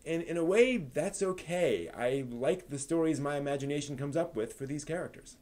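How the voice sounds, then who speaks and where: A man hosting a live stream, giving a manga review in an articulate, expressive way. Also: on the high side, normal speed. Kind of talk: manga review on a live stream, part prepared, part casual chat.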